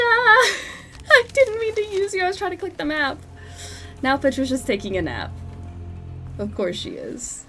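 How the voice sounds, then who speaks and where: A woman's voice: high-pitched, a bit bright. Stuffy-nosed woman streamer, giggly. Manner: chatty, giggly, reads in-game notes aloud. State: stuffed-up nose from a cold. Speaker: streamer playing a horror game. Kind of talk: casual live-stream commentary.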